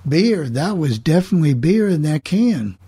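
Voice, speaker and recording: A man hosting a casual group call in an unhurried, folksy way, with a husky voice. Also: on the high side, very animated, dark tone. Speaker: host of club call.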